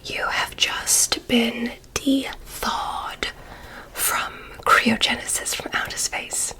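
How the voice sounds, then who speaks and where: A woman playing a polite, curious female alien medical examiner in an ASMR roleplay, in a soft breathy whisper with formal, stilted phrasing. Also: fairly high pitch, flat delivery, exaggerated voice, low-quality recording. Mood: courteous, curious. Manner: formal, oddly stilted, deliberate, reassuring.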